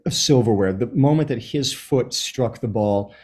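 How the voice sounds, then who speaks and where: A man in his fifties with an American accent, answering interview questions in a thoughtful, halting, storytelling way. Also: mid-range voice, a little mellow. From Los Angeles, USA, born in Chile. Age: 50s. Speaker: interview guest, soccer writer and supporter. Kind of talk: reflective conversational interview answers.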